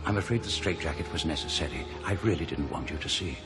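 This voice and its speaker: An actor playing a grave, measured asylum doctor in a radio drama, formal and clinically reassuring. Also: male voice, rumbling low, expressive. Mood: firm, regretful. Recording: loud music behind the voice.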